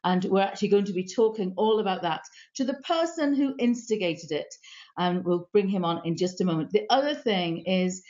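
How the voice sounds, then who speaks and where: British woman, live-stream host, mid pitch, lo-fi audio. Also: animated, brisk, darkish tone. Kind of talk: live stream talk show.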